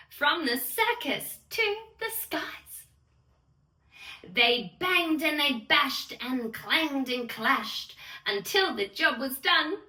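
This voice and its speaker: A woman's voice: sits high, pushed and bright. A woman reading a bedtime story aloud to small children in a theatrical sing-song way, talking directly to her young listeners. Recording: roomy echo, distant microphone.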